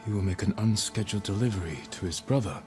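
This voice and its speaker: A man acting a stoic young samurai lord in a video game cutscene, speaking in a measured, grave, terse way. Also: fairly low pitch, music in the background, natural voice. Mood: grave, steady.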